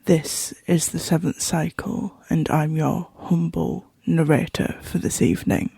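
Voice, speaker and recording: A horror fiction narrator speaking in a measured, musing, slightly formal and theatrical way, addressing the listener directly. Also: mid-range voice, fairly even intonation, gravelly, dark tone, husky, no room sound. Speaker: narrator and host of an audio horror fiction show. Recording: music behind the voice. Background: background music.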